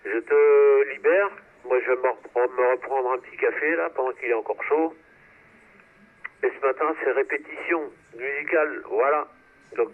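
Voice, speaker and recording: A man chatting casually over amateur radio, repeating himself for emphasis, heard through a transceiver. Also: medium pitch. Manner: emphatic repetition, frequent tag words. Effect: two-way radio transmission. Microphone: radio transceiver, heard through receiver. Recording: tinny, narrow-band two-way radio.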